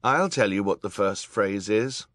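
A man acts a calm, clever boy in an audiobook. The pitch sits raised above a grown man's, the delivery is earnest and matter-of-fact, and the sound is dry. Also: normal speed, no echo, natural voice. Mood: calm resolve.

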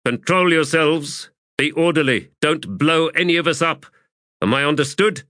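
A man's voice: fairly high pitch, moderate pace, bright, forceful tone, dry recording, studio quality, pitched above the performer's own voice. A man voicing a commanding, exasperated army commander in a formal, clipped audiobook performance. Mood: stern. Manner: formal, clipped, authoritative oratory. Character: commanding, exasperated male army commander addressing his troops. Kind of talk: audiobook character acting.

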